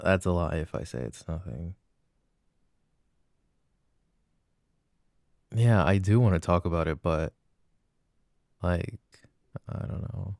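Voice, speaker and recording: Boyfriend role for a male actor: very low, gravelly, slow and halting, loving yet jealous, intimate ASMR roleplay. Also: darkish tone, dry recording, studio quality, exaggerated voice. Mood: hesitant, reluctant. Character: loving but jealous, uneasy young boyfriend.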